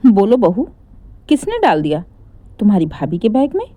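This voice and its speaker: A woman's voice: medium pitch, huge pitch swings, moderate pace, warm tone, no room sound. A woman voicing a stern, sarcastic middle-aged mother-in-law in a story, scolding with pointed rhetorical questions. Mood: probing.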